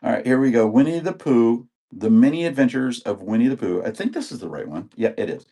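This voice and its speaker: A man's voice: medium pitch, expressive, a little fast, warm tone. Chatty man in his sixties selling tapes. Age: 60s. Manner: rambling, chatty, talks to viewers by name. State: recovering from illness. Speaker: live-stream seller. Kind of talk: live auction stream chat.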